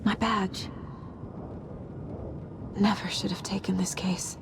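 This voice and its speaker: An actress playing a despairing female FBI agent in a video game, talking to herself in halting, guilt-ridden bursts. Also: mid-range voice, level tone, gravelly, whispered. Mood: brooding, determined. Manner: halting, brooding self-talk in short bursts. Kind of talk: dramatic video game monologue.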